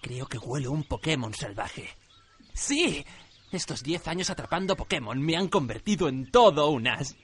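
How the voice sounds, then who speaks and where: A man playing an obsessive, excitable monster trainer in his twenties in a comic radio play. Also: on the high side, normal speed, a little hiss or hum, lightly acted. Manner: manic, excitable.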